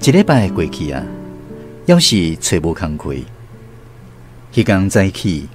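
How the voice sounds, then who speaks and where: A man's voice: low-pitched, huge pitch swings, a little slow. A man with a Taiwanese accent narrating a scripted radio drama as its storyteller. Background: music under the narration.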